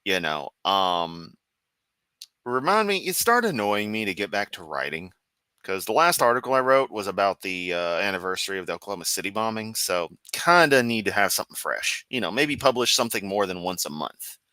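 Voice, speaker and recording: A rambling, sarcastic man hosts a live stream, talking half to himself as he clicks around. His voice sits fairly low but has a bright, sharp edge, and his throat is getting sore. The sound is dry and close, with no room around it. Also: big pitch swings, fairly quick, clear, resonant tone, dry recording. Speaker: livestream host. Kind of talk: casual live-stream commentary.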